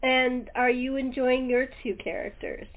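A woman's voice: high-pitched, fairly even intonation, a little slow, phone-quality sound. A middle-aged woman hosting a casual, chatty interview. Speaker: interview host.

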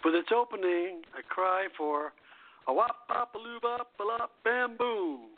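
A man hosting a radio show, reading music history from a script in a halting way, stumbling and correcting himself. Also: high-pitched, animated, no room sound. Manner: halting reader who stumbles and self-corrects. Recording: tinny phone-line internet radio call.

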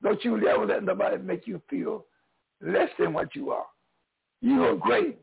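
Hoarse older Southern pastor preaching. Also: male voice, high-pitched, moderate pace, narrow, tinny audio. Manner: preacherly, swings between loud and soft. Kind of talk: encouraging sermon.

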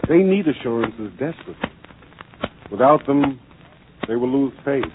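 A man's voice: medium pitch, animated, moderate pace, lightly acted. A man narrating a 1940s radio documentary drama in a grave, oratorical manner. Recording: noisy, tinny old radio broadcast.

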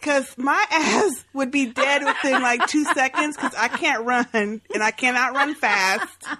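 A woman's voice: high-pitched, unhurried, pushed and bright. A woman co-hosting a podcast interview, chatting casually in a blunt, slangy, informal way. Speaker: co-host interviewer.

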